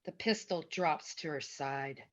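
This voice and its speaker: A woman's voice: low voice, a little slow, dull audio. A woman reading out stage directions as the narrator of a screenplay table read over a video call. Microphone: video call microphone.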